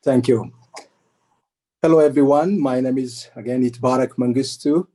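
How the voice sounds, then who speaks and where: A man from Ethiopia who works as a life coach, giving a formal, deliberate prepared speech. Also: medium pitch, mellow.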